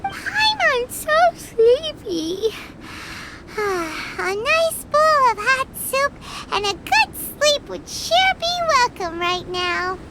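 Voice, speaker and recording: A woman voicing a tiny excitable fairy sidekick with a squeaky, childlike voice in an animated video game. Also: drawn-out words, heavy background noise, full character voice. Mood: sleepy. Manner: squeaky, childlike, talks about self in third person. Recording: loud game music behind voice. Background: game music.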